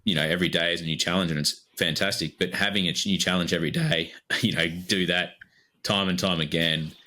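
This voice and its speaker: An Australian man with a low-pitched voice co-hosts a podcast in easygoing chat. His tone is bright and forward, and he rambles a bit with lots of fillers as he talks. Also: steady tone, a little fast. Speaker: podcast co-host.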